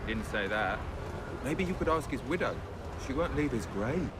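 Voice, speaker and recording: A man performs a gossiping colonial townsman for a video game. He is dismissive and blunt, with a very animated mid-pitched voice against loud background noise. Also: moderate pace, natural voice. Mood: scornful.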